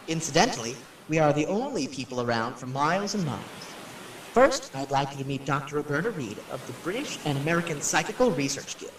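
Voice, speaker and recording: A man's voice: fairly high pitch, fairly bright tone, distant mic, a little hiss or hum. An actor playing a wisecracking, sceptical male radio personality giving chatty, flippant on-location patter in an audio drama. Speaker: radio show host. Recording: roomy, echoing, off-mic drama recording.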